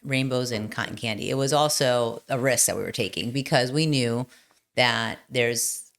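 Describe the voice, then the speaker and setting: A middle-aged woman with a strikingly deep voice hosts a relaxed interview. She speaks expressively and with emphasis, and her voice jumps up high when she gets animated. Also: normal speed. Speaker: host and law firm owner. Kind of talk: casual interview conversation.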